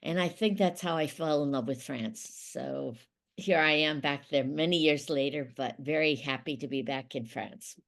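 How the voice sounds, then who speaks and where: Middle-aged woman, lower voice, methodical explainer, interview guest. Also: normal speed, creaky. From Ohio, United States. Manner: explanatory, methodical, occasional restarts. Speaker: interview guest, business consultant. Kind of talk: conversational interview.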